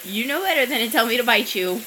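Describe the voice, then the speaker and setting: A woman's voice: a little high, expressive, quick pace, bright, forceful tone, a little hiss or hum, poor audio. A woman in her twenties chatting casually, teasing her partner in a playful way. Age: 20s. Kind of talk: casual chat.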